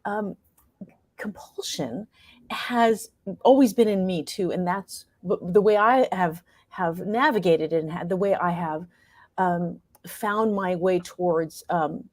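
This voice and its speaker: An American woman speaking reflectively as an interview guest, thoughtful and halting as she searches for her words. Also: fairly low pitch, dry recording, studio quality. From New York state, United States. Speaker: interview guest, playwright and performer.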